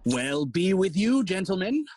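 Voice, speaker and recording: A man playing a pompous, long-winded old royal counsellor with a husky voice and declamatory delivery in a Shakespearean audio play. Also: high-pitched, very animated, a little slow, background noise. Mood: officious. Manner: pompous, declamatory list-making.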